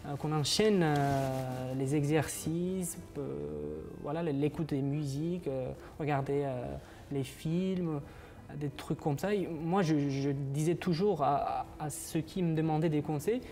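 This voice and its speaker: A man's voice: fairly high pitch, unhurried, dark tone, faint music behind. A young man from Uzbekistan with an Uzbek accent, a guest on a TV morning show, answering in French as a second language with many hesitations and restarts. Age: 20s. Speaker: guest, master's student.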